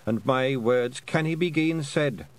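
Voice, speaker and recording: A man playing a slow, stern old Scots fairy matriarch. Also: lightly acted. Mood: commanding, frail. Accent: Scottish. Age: over 60. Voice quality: slow, raised-pitch, firm old-woman voice. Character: dying old female fairy clan mother, commanding.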